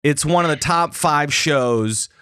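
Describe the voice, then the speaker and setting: A man's voice: fairly high pitch, slow pace, bright, forceful tone, clean tone, dry recording, studio quality. A young man hosting a casual podcast chat, loose and rambling, full of fillers and restarts. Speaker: podcast host.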